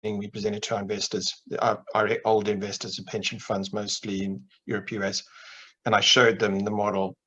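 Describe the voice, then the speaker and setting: A middle-aged man from Zimbabwe on a video call, explaining his work in a measured way with frequent restarts. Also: fairly low pitch, flat delivery, sharp tone, muffled recording. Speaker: investment manager guest. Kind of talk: interview answers on a video call. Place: home office with plain wall. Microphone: webcam on video call.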